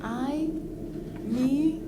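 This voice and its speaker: A woman, not a native English speaker, preaching at an assembly in an animated way. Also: high-pitched, very slow, background noise. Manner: animated, exclaims praise between points. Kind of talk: Bible talk at an assembly.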